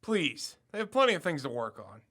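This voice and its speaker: A man's voice: on the high side, big pitch swings, a little fast, bright, forceful tone, exaggerated voice. A young man playing an eager, confident college student turned amateur detective in an audio drama. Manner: confident, insistent.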